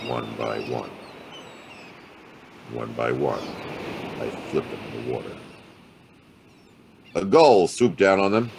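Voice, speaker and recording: Hard-boiled American private eye, extremely low voice, gravelly, laconic, world-weary, noir radio play, noisy ambience behind. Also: male voice, full character voice. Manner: laconic, colloquial tough-guy delivery. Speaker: actor playing the lead and narrator. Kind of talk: noir audio drama dialogue and first-person narration.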